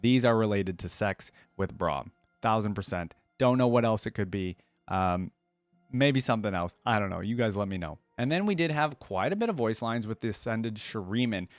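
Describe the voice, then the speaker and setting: A man's voice: on the low side, animated, normal speed, no echo, faint music behind. A man giving casual, musing commentary as a reaction video host. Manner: informal, musing, conversational.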